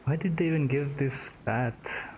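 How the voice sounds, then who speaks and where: Slow-paced woman giving casual gameplay commentary. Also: extremely low pitch, darkish tone, lo-fi sound, a little hiss or hum. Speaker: gaming streamer. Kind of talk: casual let's-play commentary. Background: game music.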